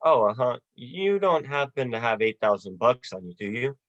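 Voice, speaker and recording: A young performer playing a despairing, sardonic family man in a radio play, with halting, dry delivery. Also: medium pitch, very animated, a bit bright. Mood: sardonic, incredulous. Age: teens or young adult. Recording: dull, lo-fi video call audio.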